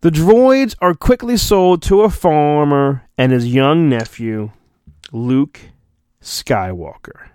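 A man's voice: a little high, lively intonation, slow pace, darkish tone, clean tone, no room sound. A man hosting a podcast, giving a mock-dramatic storybook reading with theatrical, self-mocking asides. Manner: theatrical, self-mocking, often breaks off to mutter. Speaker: podcast host and reader.

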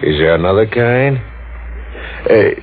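An actor playing a hesitant, drily mocking police sergeant who questions haltingly in a 1940s radio drama. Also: male voice, fairly low pitch, expressive. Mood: dryly skeptical. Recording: thin phone-like old radio recording, faint noise.

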